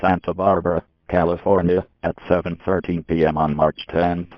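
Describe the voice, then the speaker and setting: A man reading a scripted emergency warning as an alert announcer, in a stiff, formal, deliberate manner. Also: sits low, flat delivery. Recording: dull lo-fi broadcast audio.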